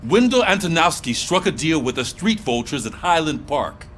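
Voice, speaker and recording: High-voiced, animated police commander. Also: male voice, normal speed, a little hiss or hum. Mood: stern, urgent. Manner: authoritative, businesslike. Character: no-nonsense police sergeant briefing his squad. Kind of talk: video game voice acting.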